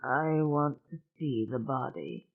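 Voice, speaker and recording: A narrator voicing a composed, decisive woman doctor with a small, hoarse voice, in an audiobook with phone-like sound. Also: medium pitch, steady tone, a little slow, natural voice. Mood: calm, resolute.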